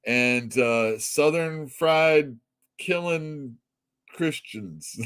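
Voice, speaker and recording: A man's voice: on the high side, animated, drawn-out words, fairly bright tone, smooth. A middle-aged man hosting a comic shop show, chatting casually and bluntly, rambling, swearing and laughing at his own jokes. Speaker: comic shop podcast host. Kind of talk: casual opinionated chat.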